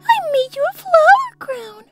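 A woman's voice: very high voice, big pitch swings, a little slow, soft background music, heavily acted. A woman voicing a sweet, polite little girl rabbit in an earnest, childlike way for a cartoon comic dub. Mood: cheerful, sweet.

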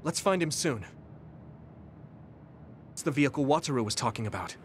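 A man's voice: medium pitch, animated, normal speed, a little hiss or hum, close to an everyday voice. An actor playing an eager, caring young male soldier hero in a game cutscene, speaking expressively and sympathetically. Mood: earnest. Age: young adult. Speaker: game protagonist.

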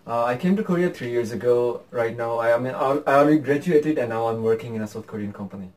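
A man's voice: medium pitch, fast, a little mellow, recorded from a distance. A young man from Pakistan chatting casually as a guest taster, often laughing and restarting his words. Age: young adult. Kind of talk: casual chat. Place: small indoor room by a window. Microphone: fixed camera at the table.

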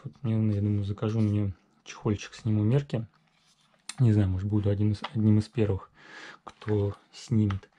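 A man casually walking through a new RC truck in a workshop, talking haltingly with many fillers and self-corrections. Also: medium pitch, warm tone, slight background noise. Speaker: RC hobby reviewer. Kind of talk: casual unboxing walkthrough. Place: indoor garage workshop.

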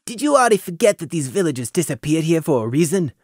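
A narrator voicing a stern, gruff male pegasus squad leader who talks low and commanding. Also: very animated, fast talker, no room sound, studio quality. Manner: commanding, low-voiced, scolding.

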